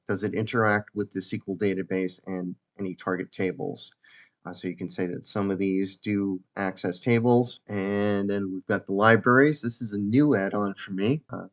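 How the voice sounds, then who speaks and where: A man giving a casual, informal software demo as a developer presenting his own application. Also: lowish voice. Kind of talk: casual screen-share demo narration. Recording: dull lo-fi mic.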